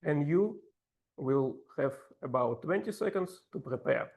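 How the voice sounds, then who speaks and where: A man with a heavy Russian accent, a native Russian speaker, hosting a club session as table topics master in slow, halting English with playful asides. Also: a little high, lively intonation, vocal fry, a little mellow.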